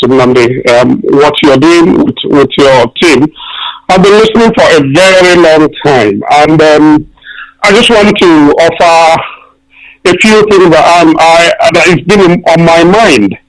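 An older Nigerian man phones in to a radio show, talking earnestly and slowly with frequent pauses. His voice is notably high for a man. He sounds like a man in his sixties. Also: poor audio. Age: 60s. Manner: earnest, slow, with many pauses. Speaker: radio caller. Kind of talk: call-in radio talk. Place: London.

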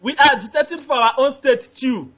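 A man's voice: very high voice, a little fast, a bit bright, highs cut off. A man with a Nigerian accent calling in to a radio talk show, speaking emphatically and repeating his points, often groping for names with fillers. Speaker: caller.

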